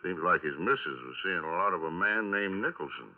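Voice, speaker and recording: Grumbling, deep-voiced police lieutenant. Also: male voice, expressive, fairly quick. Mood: intrigued. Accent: American. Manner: grumbling, folksy, swinging delivery. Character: gruff skeptical male police lieutenant, weary but dogged. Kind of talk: 1950s radio detective drama acting. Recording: tinny vintage 1950s radio broadcast.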